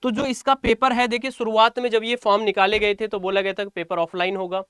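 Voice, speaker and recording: A man's voice: high-pitched, level tone, brisk, fairly bright tone, smooth, no room sound. A man giving an informal exam-update lesson to students as a coaching teacher, repeating phrases for emphasis.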